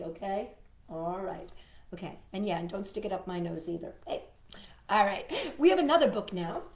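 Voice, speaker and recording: A woman's voice: mid-range voice, a little mellow, reverberant. A woman hosting a storytime for young children, reading and singing in a playful way and addressing her listeners directly. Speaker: children's storytime host with a puppet sidekick.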